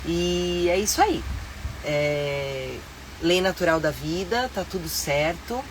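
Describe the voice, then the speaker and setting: A woman's voice: fairly low pitch, lively intonation, slow pace, slight background noise, poor audio. A middle-aged Brazilian woman with a stuffy, nasal voice from a cold, hosting a casual live stream from inside her motorhome. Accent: Brazilian Portuguese. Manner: chatty and upbeat, with frequent fillers. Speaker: live-stream host and tour guide.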